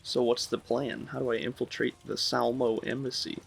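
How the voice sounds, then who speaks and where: Man as a very gravelly, terse adventurer. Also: medium pitch, level tone, normal speed. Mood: questioning. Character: terse male adventurer, the game's player hero. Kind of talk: scripted video game dialogue.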